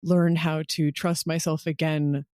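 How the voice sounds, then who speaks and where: A woman's voice: deep voice, level tone, measured pace, darkish tone, no echo, clean studio sound. A woman chatting casually in an interview as a podcast creator, candid and self-deprecating in a rambling way.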